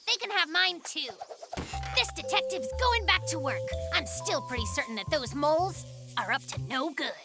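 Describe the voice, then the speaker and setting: A little polar bear inventor for a children's cartoon. The voice is extremely high and swoops wildly, with music behind it. He is self-important, excitable and melodramatic. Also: full character voice. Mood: smug, grudging. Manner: dramatic, self-important, exclamatory. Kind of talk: animated children's cartoon acting.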